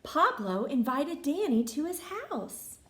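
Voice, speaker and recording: A woman reading a children's picture book aloud with expressive, folksy inflection. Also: high-pitched, slow, echoey room. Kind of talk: animated children's story reading.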